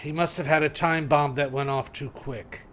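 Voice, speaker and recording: A man playing a weary, matter-of-fact police commissioner in 1930s radio drama style, measured and dry. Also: a little high, steady tone, a bit bright, a little hiss or hum, close to an everyday voice. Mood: resigned, dry.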